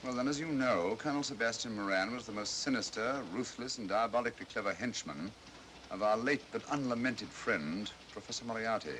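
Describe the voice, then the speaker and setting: An actor playing a coolly analytical English detective, precise, articulate and dryly understated, in 1940s mystery film style. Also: male voice, lowish voice, fairly quick, a bit bright, lightly acted. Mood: grave, analytical. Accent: British. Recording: noisy old film soundtrack.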